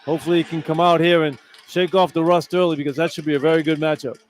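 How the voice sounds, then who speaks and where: A man's voice: fairly high pitch, fairly even intonation, fast, slight background noise. An American man giving informal, conversational color commentary on a sports radio broadcast, sometimes repeating himself. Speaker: color analyst.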